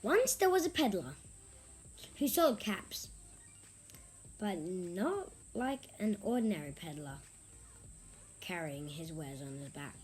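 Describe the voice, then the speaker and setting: An informal, youthful-sounding storyteller hosting a picture book read-aloud, sometimes halting and repeating words. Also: medium pitch, very animated, a little mellow, faint music behind, poor audio. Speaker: story reader and host.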